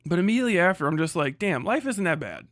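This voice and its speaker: A man's voice: high voice, big pitch swings, quick pace, a bit bright, no echo, studio quality. A man on a casual livestream rant, blunt and hectoring, swearing heavily as he speaks. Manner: mocks others in put-on voices. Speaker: livestream host.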